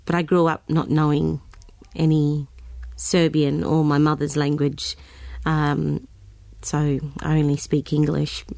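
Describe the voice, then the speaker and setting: A woman's voice: deep voice, gravelly, a little mellow, no echo. An Australian woman, a guest singer, chatting in an interview, hesitant, with many ums and restarts. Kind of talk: casual interview chat.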